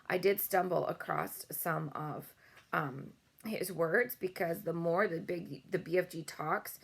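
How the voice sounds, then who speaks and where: Creaky-voiced woman reading a storybook aloud. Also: lowish voice. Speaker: read-aloud host. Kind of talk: storybook read-aloud with casual asides.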